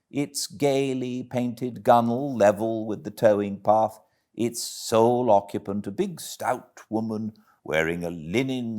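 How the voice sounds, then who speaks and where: A man with a British accent narrating a classic story expressively, as an audiobook reader does. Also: lowish voice. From Britain.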